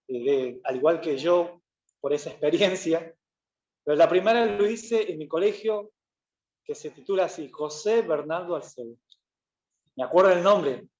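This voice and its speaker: A man from Peru, preaching in a relaxed, chatty way with many "eh" fillers and self-corrections. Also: fairly high pitch. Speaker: pastor. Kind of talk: conversational sermon. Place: church hall. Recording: roomy church echo, slightly dull.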